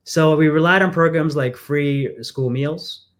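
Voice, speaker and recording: A man's voice: fairly high pitch, unhurried. A man who grew up in England, speaking as a candidate in a conversational interview, earnest and storytelling with frequent fillers. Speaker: congressional candidate.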